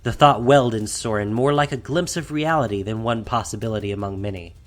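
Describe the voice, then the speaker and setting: A man's voice: fairly low pitch, brisk. A man reading scripted fantasy fiction as the narrator of an audio drama, in a dramatic storytelling style. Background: faint music.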